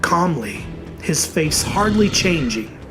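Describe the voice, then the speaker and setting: A narrator reading a horror story in a theatrical, dramatic way, with emphatic, drawn-out words. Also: mid-range voice, very animated, music in the background.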